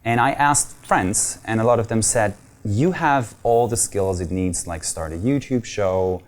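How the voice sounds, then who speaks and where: Low-voiced German man, earnest. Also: unhurried. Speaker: guest. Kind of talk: casual interview talk.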